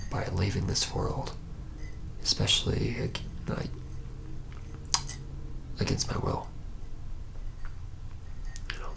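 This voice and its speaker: A man playing a haunted, reluctant young vampire in a whispered ASMR roleplay, telling his story haltingly in a breathy, gravelly voice. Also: on the low side, a bit bright, full character voice, rough recording. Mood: guilty, rueful. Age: young adult. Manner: halting, hesitant storytelling with heavy pauses.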